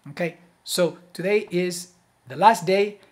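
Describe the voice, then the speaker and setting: A man with a moderately high voice explains things as a host in a casual, direct way. His delivery is halting, with repeated words as he searches for phrasing. He often pauses to check that the listener follows. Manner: halting, repetitive, with frequent check-in "okay?". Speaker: host, accountability group leader. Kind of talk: casual explanatory talk to camera.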